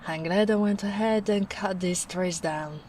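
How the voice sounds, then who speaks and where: Slow, soft-spoken gentle ogre played by a woman. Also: mid-range voice, a little hiss or hum, natural voice. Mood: content, musing. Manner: unhurried, musing, soft-spoken. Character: gentle big female ogre, caring, plain-spoken woodswoman. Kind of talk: soft-spoken ASMR roleplay.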